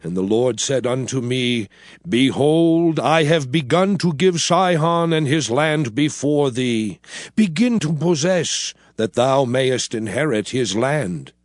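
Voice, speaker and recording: An actor playing an elderly prophet leader, solemnly declaiming in a measured way as dramatized scripture, with music underneath. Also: male voice, medium pitch, animated, no echo, clean studio sound. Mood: solemn, commanding.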